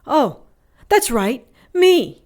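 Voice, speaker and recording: A woman's voice: high voice, very animated, drawn-out words, darkish tone, exaggerated voice. A woman playing a tough, wounded adventurer in an audio drama, telling her story in a wry, sardonic, colloquial way. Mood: wry storytelling.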